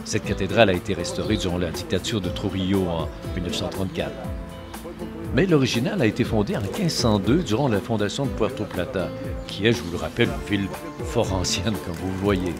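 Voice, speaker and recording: A man's voice: sits low, brisk. A man reading scripted travel-show narration and voice-over translation. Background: background music.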